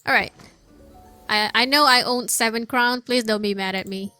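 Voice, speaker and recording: A woman's voice: fairly high pitch, fairly even intonation, moderate pace, pushed and bright, clear, resonant tone. A woman giving casual gameplay commentary, muttering to herself and repeating phrases as she plays. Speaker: gaming streamer. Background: game music.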